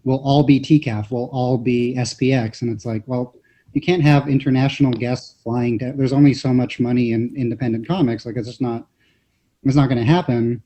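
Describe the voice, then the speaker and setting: A man's voice: medium pitch, fairly even intonation, moderate pace, dark tone. A man speaking as a panelist in casual discussion, analytical and often restarting his sentences, on slightly dull audio.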